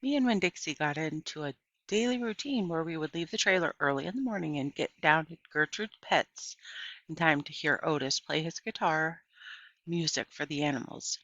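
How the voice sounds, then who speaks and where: A woman narrates a story aloud in a low, bright, forward voice. She reads in an animated storytelling manner, as for an audiobook. The recording is dry and a little dull. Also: normal speed, no room sound. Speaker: audiobook reader.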